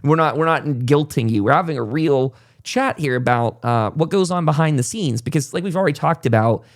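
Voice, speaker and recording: A man's voice: fairly high pitch, brisk. A man hosting a casual interview podcast, talking at length in a reflective, conversational way. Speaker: podcast host.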